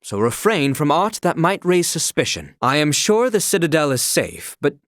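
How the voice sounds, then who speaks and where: Casting: young royal knight for a fantasy game; formal, dutiful, anxious to serve, very animated intonation, dry close recording. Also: male voice, a little high, normal speed, no room sound. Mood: grave, formal. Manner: formal, archaic, courtly diction. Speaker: video game character voice. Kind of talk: scripted fantasy game acting.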